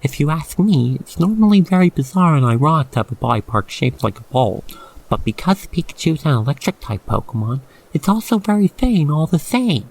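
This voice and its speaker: A man with a fairly high, slightly creaky voice narrates an ASMR roleplay straight to the listener. His delivery is theatrical and very animated, rising and falling widely as he describes things in wordy detail. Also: a little fast, rough recording. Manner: expressive, theatrical, wordy storytelling.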